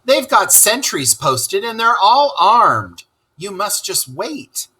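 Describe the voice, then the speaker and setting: A man's voice: high-pitched, sing-song, takes it slowly, bright, forceful tone, slightly rough, voice pushed up, heavily acted. A man voicing a sensible, good-natured but scolding water rat in a children's story, with a reproachful, admonishing manner. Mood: exasperated. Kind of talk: animated children's storybook character reading.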